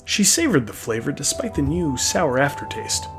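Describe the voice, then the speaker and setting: A man's voice: on the high side, sing-song, moderate pace. A man reading a scripted story expressively, like an audiobook narrator. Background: faint music.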